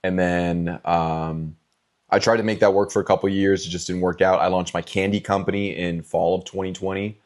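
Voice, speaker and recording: A man in his twenties from California, answering fan questions in a relaxed, chatty way with frequent ums and likes. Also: low-pitched, normal speed. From California, USA. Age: 20s. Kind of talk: casual Q&A vlog.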